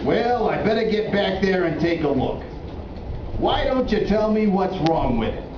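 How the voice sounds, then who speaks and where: A man's voice: sits high, big pitch swings, moderate pace. A man voicing a blunt, impatient, wisecracking working-class repairman in a children's puppet show. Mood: businesslike, nosy. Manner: blunt, informal, slangy, impatient. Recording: echoey, muffled, noisy room, far from mic.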